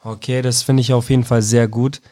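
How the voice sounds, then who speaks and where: A man's voice: mid-range voice, level tone, a little fast, mellow, no echo. A male interviewer who grew up in Iraq asks his questions in an informal way. He often circles back and rephrases a question several ways. His voice sits in a middle register and sounds dry and close. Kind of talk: casual youth interview.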